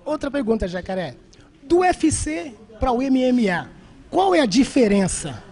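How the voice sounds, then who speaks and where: A man's voice: very high-pitched, very animated, normal speed, darkish tone. A man hosting a sports show, upbeat and chatty, interviewing a fighter with a handheld mic in a gym. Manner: upbeat, addresses viewers as "rapaziada". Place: martial arts gym, indoors.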